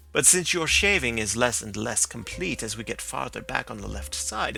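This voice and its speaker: A man reading aloud as a sharp, confident middle-aged detective who lectures with precise, assured reasoning. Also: medium pitch, vocal fry, exaggerated voice.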